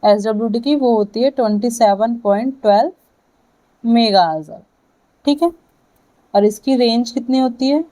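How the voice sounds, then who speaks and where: A woman with an Indian accent lecturing to students, explaining and dictating key points in halting, deliberate phrases with frequent check-ins. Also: a little high, warm tone, rough recording. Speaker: physiotherapy lecturer.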